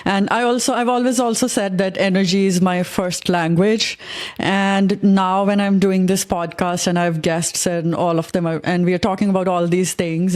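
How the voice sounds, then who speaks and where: A woman's voice: lowish voice, a little mellow. A woman hosting a podcast, talking in an earnest, reflective way with her guest. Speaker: podcast host. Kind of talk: casual interview talk.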